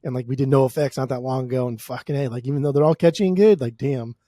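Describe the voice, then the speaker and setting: A man's voice: mid-range voice, quick pace, mellow, no room sound. An American man co-hosting a podcast, talking casually about music in a profane, slangy, opinionated way. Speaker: podcast co-host.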